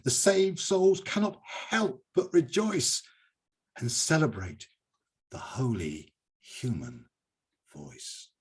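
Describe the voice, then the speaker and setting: British man, husky and emphatic. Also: medium pitch, big pitch swings, slow pace, pauses often. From Britain. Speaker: host of a poetry reading. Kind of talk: poetry recital and enthusiastic commentary.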